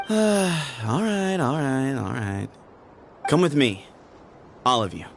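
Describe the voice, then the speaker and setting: Video game rogue leader: cocky, mocking, swooping pitch, gravelly creak. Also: male voice, expressive, slow, a little hiss or hum. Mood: mocking, smug. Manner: theatrical, drawling, mocking. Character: sly, teasing, cocky male leader of rough mercenaries.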